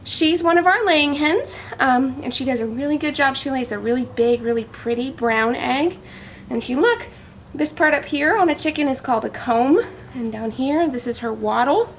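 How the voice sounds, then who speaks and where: A woman's voice: high-pitched, moderate pace, a little hiss or hum. A woman speaking to young children in simple, teacherly language with questions, as in a storybook read-aloud or farm show-and-tell.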